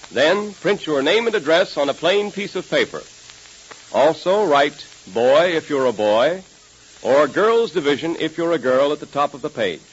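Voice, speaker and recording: A man announcing a 1930s radio show and pitching its sponsor with emphatic delivery. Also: slightly rough. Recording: muffled, hissy 1930s radio recording.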